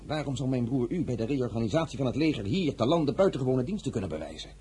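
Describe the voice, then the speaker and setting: A man's voice: mid-range voice, sing-song, quick pace, warm tone, slightly rough. A male actor in a radio drama playing a courteous, scheming political brother-in-law with a polite, persuasive, formal manner. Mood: persuasive, insistent.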